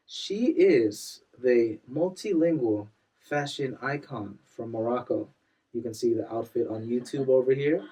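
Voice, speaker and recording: A young man hosting a casual interview show, energetic and quick to hype up his guest and laugh. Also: medium pitch, far from the mic. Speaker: podcast host.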